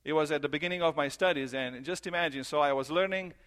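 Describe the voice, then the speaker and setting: Guy preaching a sermon, english not his first language, repeats stuff for emphasis, voice a bit high. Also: fairly bright tone. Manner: earnest, repeats key phrases, slows for emphasis. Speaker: pastor.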